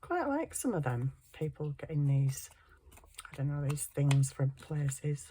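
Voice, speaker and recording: A hoarse middle-aged woman chatting casually to herself while crafting, muttering and poking fun at herself. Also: low-pitched, very animated, a little slow, warm tone, a little hiss or hum. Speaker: craft channel host.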